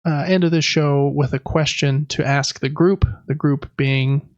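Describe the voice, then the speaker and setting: A man's voice: a little high, steady tone, unhurried, no echo, dull audio. A man hosting a casual board game podcast, chatting and explaining things in a conversational way. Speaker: podcast host.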